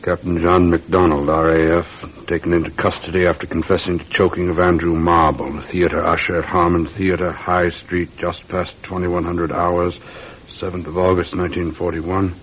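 A man acting in a radio drama as a grave, dogged police sergeant, measured and deferential to his superior. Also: low-pitched, level tone, lightly acted. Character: dogged, grave Scotland Yard homicide sergeant, an uncle. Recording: dull, faintly noisy radio drama recording.

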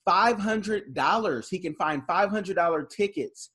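Husky, higher-voiced man. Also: lively intonation, measured pace, a bit bright. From United States. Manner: storytelling, laughs between remarks. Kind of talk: casual conversation.

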